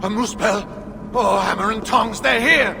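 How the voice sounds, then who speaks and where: A man's voice: high-pitched, measured pace, creaky. An actor playing a fretful, chatty dwarf man in a fantasy video game, nervy and given to colorful exclamations. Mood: panicked. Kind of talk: video game cutscene acting. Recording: loud music, long reverb.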